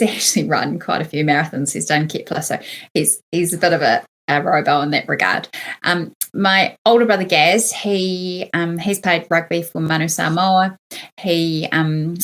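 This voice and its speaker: Relaxed New woman, lower voice. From New Zealand. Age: 30s. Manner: relaxed, rambling, many fillers. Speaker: guest, former Olympian. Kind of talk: casual conversational interview.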